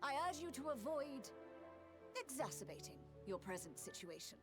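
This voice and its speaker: An actress playing an officious female immigration official in a fantasy game, speaking in a formal, measured, reproving way. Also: high voice, sing-song. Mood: stern, cautioning. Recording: orchestral music behind the voice. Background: game music.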